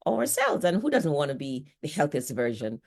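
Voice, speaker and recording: Low-voiced Jamaican woman. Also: sing-song, brisk, darkish tone. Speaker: nurse and author, guest. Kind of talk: casual conversational talk.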